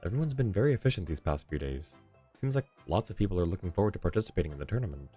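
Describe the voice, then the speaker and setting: A man's voice: sits low, animated, very fast, mellow, faint music behind. A man livestreaming a video game, reading along and adding casual, dry, joking asides into a close mic.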